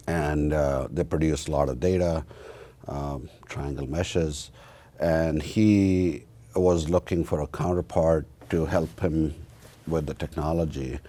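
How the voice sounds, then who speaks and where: A man in his fifties with an Indian accent, giving reflective interview answers in a halting way with many pauses. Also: a bass voice, mellow. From northern India. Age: 50s. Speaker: interview guest, university research executive and computer scientist.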